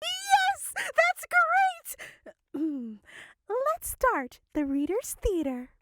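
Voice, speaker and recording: A woman's voice: extremely high pitch, wildly swooping pitch, drawn-out words, background noise. A woman narrating and co-hosting a playful children's reading lesson. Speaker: narrator and co-host.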